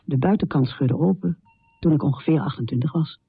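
A woman's voice: low-pitched, brisk, breathy edge, no room sound, narrow, tinny audio, exaggerated voice. An actress playing a troubled housewife poet in her thirties, speaking an intimate, confessional radio drama monologue in dramatic phrases. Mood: haunted, reflective. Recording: thin, band-limited radio recording.

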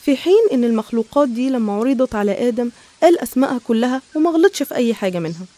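A woman's voice: a little high, animated, rapid-fire, warm tone. A woman with an Egyptian Arabic accent narrating religious stories in a conversational, explanatory way. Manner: explanatory, storytelling.

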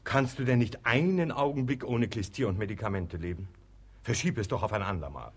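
An actor playing a sensible middle-aged brother in a German radio comedy, reasoning patiently with dry, teasing mockery. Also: male voice, on the low side, lively intonation, brisk, breathy edge. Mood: mocking, firm. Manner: reasoning, dryly mocking. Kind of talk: German radio play acting of classic comedy.

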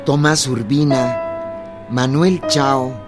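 A man's voice: medium pitch, very slow, loud music behind the voice. A man narrating a historical radio drama in a scripted, dramatic style.

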